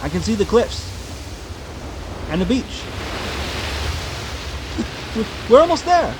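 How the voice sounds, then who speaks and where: Man playing an anxious, doubting young-sounding sailor, raised pitch. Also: sing-song, normal speed, noisy background. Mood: excited. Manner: worried, questioning. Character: anxious, doubting male sailors rowing a lifeboat. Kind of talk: audio drama acting.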